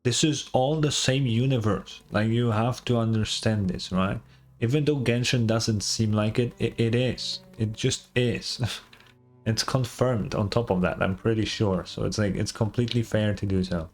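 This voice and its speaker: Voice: mid-range voice, steady tone, moderate pace. A game streamer giving casual live commentary in an informal, rambling way, often repeating words. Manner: informal, rambling, hesitant repetitions. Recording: game music behind the voice. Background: game music.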